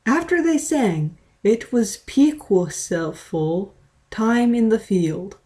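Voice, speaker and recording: Slow deadpan woman narrating. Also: a little high. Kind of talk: amateur scripted story narration.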